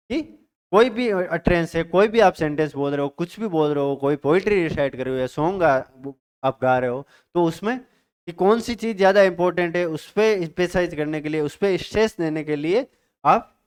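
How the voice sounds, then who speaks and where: Guy teaching an exam-prep class, bit high voice, keeps checking in with students. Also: clean tone. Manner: explains step by step, checks in with "ठीक है?"; reads English definitions slowly. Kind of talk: Hindi-English exam-prep lecture.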